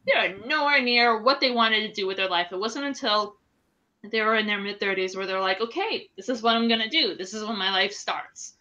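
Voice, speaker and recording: A woman in her late thirties, co-hosting a casual chat podcast over a video call, candid and quick to laugh. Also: medium pitch, sharp tone. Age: late 30s. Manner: candid, laughing, swears freely. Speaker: podcast co-host. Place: home room at night. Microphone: video call webcam. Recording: dull lo-fi webcam audio.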